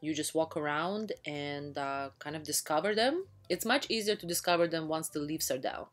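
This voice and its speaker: A woman's voice: sits low, expressive, moderate pace. A woman in her thirties narrating a travel vlog in a casual, enthusiastic voice-over, with frequent fillers and restarts. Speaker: travel vlogger. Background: faint background music.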